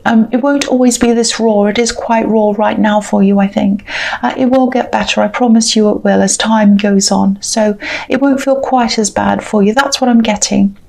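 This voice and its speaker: A woman with a fairly high voice gives a tarot reading directly to her viewer. She talks in a soft, reassuring manner and pauses often to feel her way toward the next thought. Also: creaky. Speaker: tarot reader. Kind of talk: intuitive tarot reading to camera.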